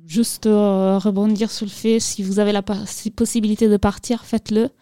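Mellow-voiced hesitant woman on radio. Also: mid-range voice, little pitch movement, moderate pace, dry recording. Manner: hesitant, many fillers and restarts. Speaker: radio guest, youth program presenter. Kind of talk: casual radio interview. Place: radio studio.

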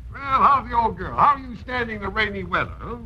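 Breezy, high-pitched teasing husband. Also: male voice, sing-song, brisk. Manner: breezy, mock-polite, glib. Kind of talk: 1950s radio comedy acting. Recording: noisy, muffled 1950s radio recording.

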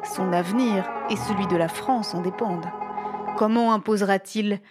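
A woman's voice: medium pitch, normal speed, over music. A woman narrating a satirical fiction in a dramatic, scripted style.

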